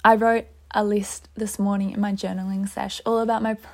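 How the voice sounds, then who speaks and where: A woman's voice: mid-range voice, flat delivery, brisk, a little mellow. A young woman hosting a solo podcast, earnest and upbeat, her throat a little dry. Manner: earnest, upbeat, with light laughs. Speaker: podcast host.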